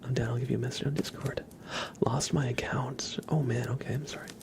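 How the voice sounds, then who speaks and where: A man speaking in a soft, breathy whisper, chatting casually with viewers as an ASMR livestream host. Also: mid-range voice, steady tone, fairly quick, background noise.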